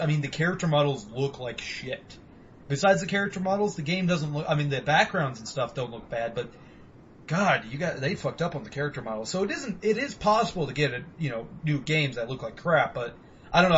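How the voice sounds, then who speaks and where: A man's voice: a little high, big pitch swings, fairly quick, fairly bright tone, slight background noise. A young man co-hosting a podcast, rambling in casual, profane chat about video games. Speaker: podcast co-host. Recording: dull lo-fi home recording.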